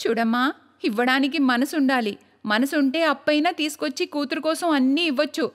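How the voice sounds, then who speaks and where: A woman voicing a demanding, sharp-tongued, scheming young married daughter in a cartoon moral story. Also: sits high, fairly quick, lightly acted. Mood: wheedling, smug.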